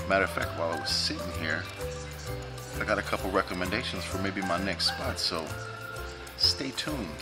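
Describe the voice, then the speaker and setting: A man, reviewing food in a casual, joking vlog while eating at a restaurant. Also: sits low. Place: restaurant dining room, indoors.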